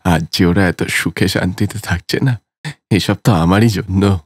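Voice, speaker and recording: A man's voice: sits low, measured pace, breathy edge, no echo, studio quality. A man voicing a proud, money-minded father in a dramatic cartoon story. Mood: proud, self-satisfied. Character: proud, money-minded older father pressing his son.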